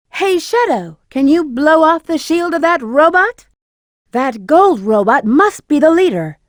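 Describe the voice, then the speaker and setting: A woman's voice: high-pitched, no echo, clean studio sound. A woman voicing a confident, sly female bat treasure hunter in a video game, with a sassy manner.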